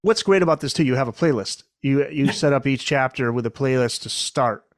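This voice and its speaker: A middle-aged man hosts a podcast in a casual, conversational style. His voice sits at an average pitch, and the sound is dry with little room in it. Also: a little fast, dry recording. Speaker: podcast host, former radio presenter.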